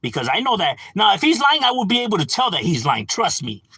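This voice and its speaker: Husky animated man ranting on stream. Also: high-pitched, fast, no room sound, clean studio sound. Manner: animated, argumentative, addresses chat as "bro"; frequent laughs and filler "you know". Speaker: livestream host. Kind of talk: casual livestream rant.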